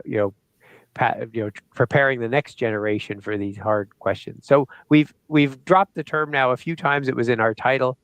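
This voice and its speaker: A middle-aged man hosting a show, friendly and a little halting, with many fillers, speaking over a video call. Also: mid-range voice, no room sound, poor audio. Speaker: host. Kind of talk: interview hosting.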